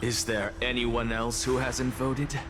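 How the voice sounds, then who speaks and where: A man's voice: medium pitch, flat delivery, slow, noisy background, natural voice. An actor playing a composed, self-assured male lord with an aloof manner in a dramatic game. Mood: cool, decided.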